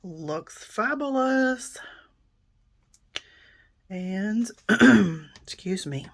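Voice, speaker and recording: A middle-aged woman, hoarse and raspy after a coughing fit, calmly explaining a card-making project step by step and often clearing her throat. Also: drawn-out words. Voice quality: deep and raspy. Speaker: card-making tutorial host. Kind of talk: casual crafting tutorial narration.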